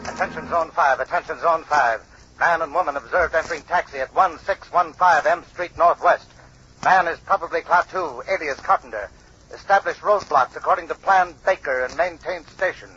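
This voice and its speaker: Forceful military radio dispatcher. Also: male voice, on the high side, moderate pace, slightly rough, faint music behind, heavily acted. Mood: urgent. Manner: clipped, formal announcing. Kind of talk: 1950s radio drama acting. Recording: dull, noisy old radio recording.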